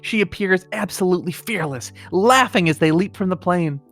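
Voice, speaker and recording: A man's voice: high-pitched, lively intonation, moderate pace, fairly bright tone, soft background music. A middle-aged man co-hosting a comedy podcast, moving between mock-solemn reading and casual chat. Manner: breaks into laughter while trying to read gravely.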